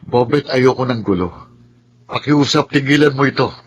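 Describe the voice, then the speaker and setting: A man's voice: mid-range voice, moderate pace, slightly rough, lo-fi sound, close to an everyday voice. A man acting as a wronged, peaceable young man in a Filipino radio drama, earnest and pleading, restrained but firm. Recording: loud music behind the dialogue.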